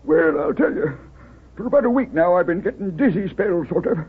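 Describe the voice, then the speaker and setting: A man's voice: high voice, animated, fairly quick, slightly rough, heavily acted. An actor playing a weary, worried old boathouse man with a rural American accent and a hesitant, repetitive manner in a 1930s radio drama. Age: older. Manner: hesitant, folksy, repeats himself. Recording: tinny, noisy 1930s radio recording.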